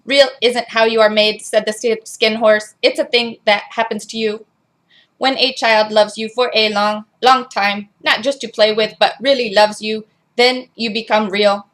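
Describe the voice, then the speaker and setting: A woman's voice: on the high side, fairly even intonation, normal speed, a bit bright, close to an everyday voice. A woman voicing a robot that reads a children's storybook aloud in a robotic delivery. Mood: mechanical, measured. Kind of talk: scripted storybook narration.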